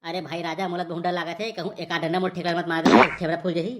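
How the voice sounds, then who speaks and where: A woman's voice: lowish voice, flat delivery, fast talker, very noisy, natural voice. A woman voicing jittery, wisecracking young village men in a Chhattisgarhi comedy cartoon, rustic, whining and argumentative. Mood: fretting.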